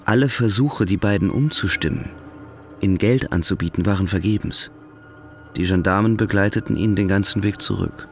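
Casting: male narrator for a literary radio play, low voice with gravelly fry, music underneath. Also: moderate pace.

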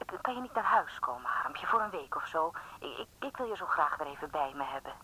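A woman's voice: on the low side, animated, brisk, husky, heavily acted, poor audio. A woman playing a tender, worried mother speaking to her grown son by telephone in a radio drama. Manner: gentle, concerned.

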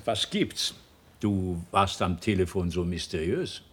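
An actor in a German radio drama playing a choleric, bitter middle-aged husband and company boss, speaking haltingly and with volatile feeling. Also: male voice, low voice, lively intonation, a bit bright, breathy edge, heavily acted. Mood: baffled. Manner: stammering, emotionally volatile.

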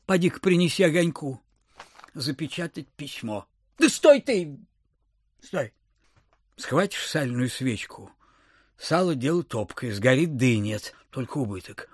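An actor playing a miserly, suspicious old landowner in an audio drama, scolding, grumbling and muttering. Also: male voice, fairly high pitch, big pitch swings, a little slow, pauses often, slightly rough, dry recording, studio quality, heavily acted. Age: older.

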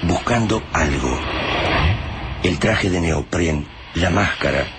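An actor as the solemn, dramatic storyteller of a bleak apocalyptic radio drama, reading literary scripted narration. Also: male voice, low voice, lively intonation, slow pace, very noisy. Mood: somber.

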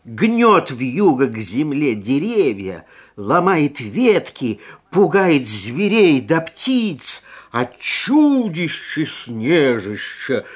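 A man narrating a children's fairy tale in a theatrical, expressive way, stretching key words for emphasis. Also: a little high. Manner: theatrical, deliberate, drawn-out emphasis. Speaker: storyteller.

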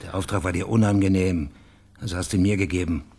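An actor playing a bitter, sardonic private detective in a German radio crime drama, pressing for answers. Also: male voice, deep voice, fairly even intonation, quick pace, vocal fry, dark tone, breathy edge, heavily acted. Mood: confrontational. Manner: sardonic, confrontational. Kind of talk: German radio play acting.

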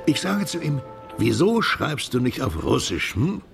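An actor playing a worldly, sentimental, garrulous émigré drinking companion in a radio drama, with a gravelly, conversational delivery. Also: male voice, medium pitch, big pitch swings, measured pace, soft background music. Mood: garrulous, tipsy ease. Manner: conversational, expansive, rhetorical asides.

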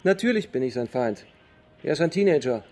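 A man's voice: on the high side, lively intonation, a little mellow, soft background music. An actor playing a stern, educated middle-aged father, speaking formally in a naturalistic radio drama. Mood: bitter, frustrated. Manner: formal, measured wording.

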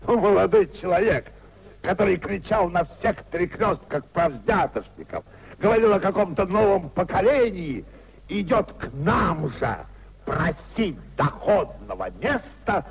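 An actor playing a bitter, domineering middle-aged official with a gravelly voice and mocking, declamatory delivery in a 1950s radio drama. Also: male voice, high voice, very animated, slow, full character voice. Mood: mocking scorn. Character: bitter, contemptuous high-ranking official, domineering husband and uncle. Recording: dull, noisy 1950s radio recording.

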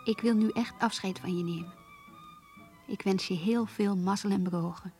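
A female actor plays a warm young woman in a radio play. She voices a letter of farewell in a mid-pitched voice, earnest and tender yet resolute. Faint music plays behind her. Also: normal speed, close to an everyday voice.

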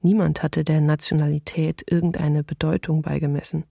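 A sombre female eyewitness in a radio play. Her voice barely rises or falls, with a creaky edge, as she gives measured, factual testimony in a dry recording. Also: low voice, level tone, warm tone, no room sound. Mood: sombre, restrained. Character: sombre female eyewitness of wartime occupation recalling events. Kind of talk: restrained documentary radio play monologue.

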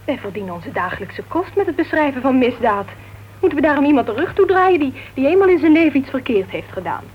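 A woman's voice: sits high, big pitch swings, fast talker. An actress playing an outspoken, sociable woman crime writer in a Dutch radio play. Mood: earnest, opinionated. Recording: dull, noisy old radio recording.

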